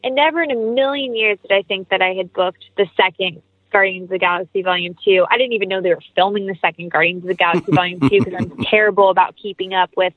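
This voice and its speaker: A woman's voice: mid-range voice, fairly even intonation, quick pace, dry recording. A woman speaking as an interview guest, chatting casually about her creative work in an enthusiastic, effusive way. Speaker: interview guest, actress and writer. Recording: thin phone-like sound.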